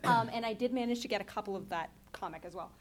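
A young woman, a comics creator, giving a lively, chatty talk and speaking directly to the audience. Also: medium pitch, fast, crowd noise.

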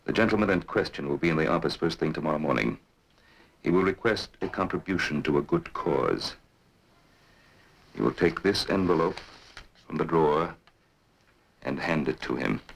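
An actor playing a cold, scheming male employer in an old radio drama, speaking slowly and persuasively. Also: a bass voice, expressive, creaky, breathy edge, heavily acted, rough recording. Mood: cold, menacing. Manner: slow, measured, coldly persuasive. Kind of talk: 1950s radio drama acting.

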